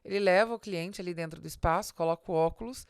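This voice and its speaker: A Brazilian woman with a fairly low voice, recorded close and dry. She explains business ideas informally but emphatically, like a podcast host teaching her audience, and addresses the listener directly. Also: fast, smooth, no echo. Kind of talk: informal business advice monologue.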